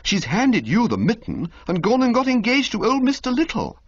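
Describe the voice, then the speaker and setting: A man voicing a chatty, flustered young gentleman who talks in a breezy, fumbling way. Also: very high voice, huge pitch swings, moderate pace, breathy edge, pitched above the performer's own voice, heavily acted. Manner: breezy, fumbling, full of uhs. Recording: slightly muffled lo-fi audio.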